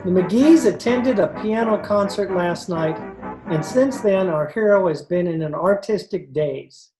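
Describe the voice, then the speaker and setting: Mellow-voiced woman announcer reading a script. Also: lowish voice, lively intonation, unhurried, soft background music, low-quality recording. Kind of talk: scripted radio narration. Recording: video call recording.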